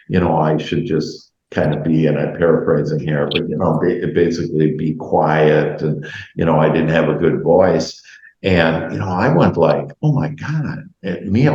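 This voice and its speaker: Host guy, very low voice, rambles with lots of ums, echoey dull-sounding room. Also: dark tone. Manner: rambling, halting, heavy fillers. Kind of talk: casual video chat.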